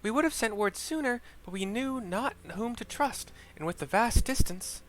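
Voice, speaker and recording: A man's voice: very high voice, lively intonation, moderate pace, a bit bright, pitched above the performer's own voice. A man voicing an affectionate, worried motherly woman with earnest, old-fashioned formal phrasing in a fantasy game. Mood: relieved, earnest.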